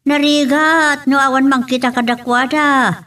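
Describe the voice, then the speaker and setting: A woman's voice: high-pitched, steady tone, measured pace, bright, forceful tone, far from the mic. A woman with an Ilocano accent acting an earnest, admonishing mother figure in an audio drama. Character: concerned mother figure giving serious advice. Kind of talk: radio-style drama acting.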